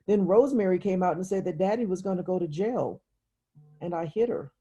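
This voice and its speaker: Woman voicing an upset, husky young girl. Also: on the low side, a little fast, mellow, dull audio, voice pushed up. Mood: agitated recounting. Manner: reluctant, plain childlike recounting. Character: Frida, upset, exasperated young girl confiding in sister. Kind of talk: literary audiobook dialogue.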